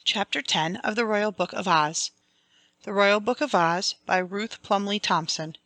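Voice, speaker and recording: A woman's voice: medium pitch, a little slow, a bit bright, no echo. A woman reading a children's fantasy storybook aloud as scripted, dramatic audiobook narration. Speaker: audiobook reader.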